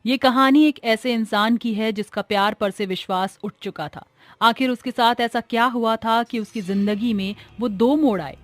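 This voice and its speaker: A woman's voice: a little high, normal speed, no room sound. A woman reading scripted narration for a cartoon story.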